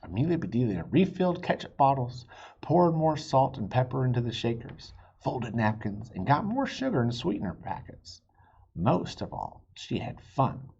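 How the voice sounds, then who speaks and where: A man reads a children's story aloud with very animated, swooping intonation. His voice is husky and expressive, and he drops in little asides. The recording is slightly dull. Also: medium pitch, normal speed. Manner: expressive, with spoken asides and stumbles. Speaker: children's book reader. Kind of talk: animated read-aloud narration.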